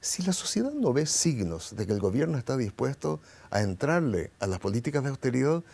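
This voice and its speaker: A man's voice: mid-range voice, sing-song, brisk, gravelly, darkish tone. A middle-aged man from Paraguay, a guest in an interview, arguing his political views with passion and many rhetorical questions.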